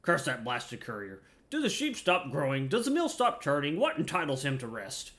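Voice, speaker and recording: A blustering, impatient miller from a fantasy game, played by a man, declaims grandly about the trade. The voice is bright and forceful, swinging up and down in pitch. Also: wildly swooping pitch, moderate pace, exaggerated voice. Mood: indignant. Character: blustering, impatient miller obsessed with his craft. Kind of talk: theatrical fantasy game quest dialogue.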